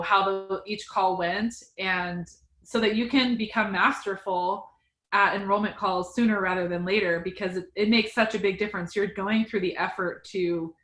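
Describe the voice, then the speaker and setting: A woman's voice: mid-range voice, fairly bright tone, roomy sound. A woman business coach hosting a casual interview, talking in an encouraging, conversational way.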